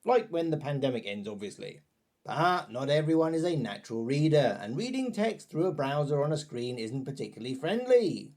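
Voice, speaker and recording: A man with a fairly high, very expressive voice narrates a scripted review. He sounds chatty and wry, dropping playful asides as he presents. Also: moderate pace.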